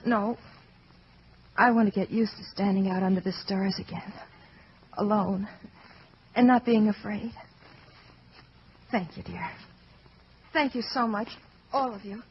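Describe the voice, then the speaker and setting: A woman's voice: mid-range voice, steady tone, a little slow, pauses often, slight background noise, close to an everyday voice. An actress playing a frightened, fragile young woman in an old-time radio drama. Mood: tender, weary. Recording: muffled old radio recording with music.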